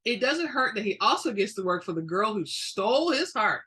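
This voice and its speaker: Bright, husky woman reading dramatically. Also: medium pitch, moderate pace. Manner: expressive, emphatic. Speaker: book reader. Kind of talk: dramatic book narration.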